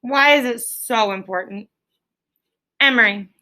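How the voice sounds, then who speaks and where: A woman with a fairly high, very bright and forceful voice leads a classroom lesson as a schoolteacher. She prompts with questions, repeats them for emphasis and checks in with short tags. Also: unhurried. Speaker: elementary school teacher. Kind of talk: classroom question-and-answer teaching. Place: classroom with pupils.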